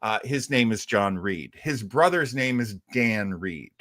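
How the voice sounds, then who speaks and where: A man's voice: lowish voice, expressive, unhurried, fairly bright tone. A man hosting a casual chat about old-time radio, an enthusiastic and chatty explainer. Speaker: host.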